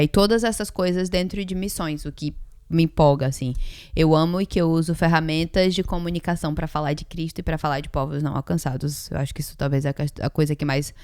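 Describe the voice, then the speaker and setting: A Brazilian woman of about thirty chatting casually as a podcast co-host, informal and quick to joke about herself. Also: low-pitched, steady tone, mellow, clean tone, no room sound, clean studio sound. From Ceará, Brazil.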